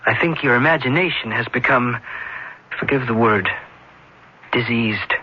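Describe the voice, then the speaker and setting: A loyal young male student in an old radio play, earnest and concerned. His voice sits at a medium pitch with a husky grain. The recording is a little muffled. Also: unhurried. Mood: frank, worried. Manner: earnest, careful, pleading. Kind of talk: 1970s radio drama acting.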